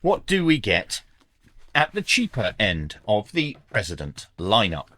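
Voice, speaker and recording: Bright, dry-voiced man reviewing a product. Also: lowish voice, huge pitch swings, a little slow, dry recording. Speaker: reviewer and channel host. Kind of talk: product review narration to viewers.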